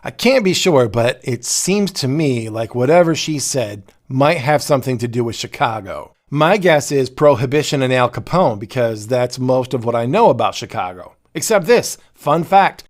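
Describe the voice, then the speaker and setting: A man's voice: mid-range voice, expressive, moderate pace, hardly any pauses. A man hosting a podcast, reading scripted narration with dry, playful humor. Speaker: podcast host.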